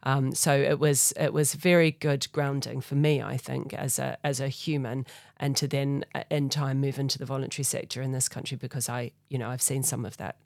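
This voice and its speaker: A woman's voice: rumbling low, little pitch movement, a little fast, creaky, hardly any pauses, darkish tone, no room sound, clean studio sound. A middle-aged woman with a New Zealand accent, a charity chief executive speaking thoughtfully and earnestly as a podcast guest. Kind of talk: conversational interview.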